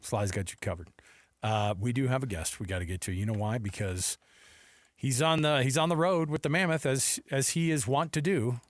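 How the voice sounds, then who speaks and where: A man's voice: medium pitch, moderate pace, dry recording. American man hosting casual sports radio, dry sound. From Seattle, United States. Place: radio studio.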